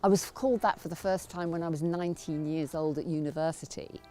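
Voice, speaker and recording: Low-voiced woman giving grave testimony. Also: steady tone, a little fast, dark tone. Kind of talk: personal testimony to camera.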